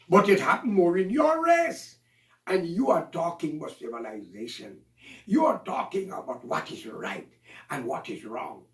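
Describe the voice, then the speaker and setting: A man delivering an impassioned, accusatory monologue, ranting and preaching at his listeners. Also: high-pitched. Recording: roomy echo, voice from a distance.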